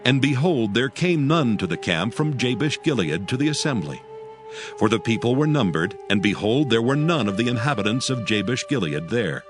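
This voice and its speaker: A man reading scripture as the narrator of a dramatized audio Bible, solemn and measured. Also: mid-range voice, very animated. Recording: prominent background music. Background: music.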